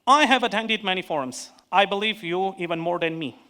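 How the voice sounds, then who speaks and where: Man with an Armenian accent, economist giving a panel speech. Higher pitch, bright tone, earnest and measured, restarts the odd word. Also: clear, resonant tone. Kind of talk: prepared conference speech.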